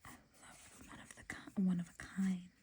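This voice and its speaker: Softly whispering woman designer. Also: fairly low pitch, monotone, a little fast. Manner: soft whisper, chatty and self-talking. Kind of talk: whispered casual chat while sketching designs.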